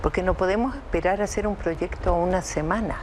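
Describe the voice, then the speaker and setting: An older woman from Chile, interviewed as an evacuee from Israel, speaking in a measured, reflective way with hesitant restarts. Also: on the low side, background noise. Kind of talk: emotional interview testimony.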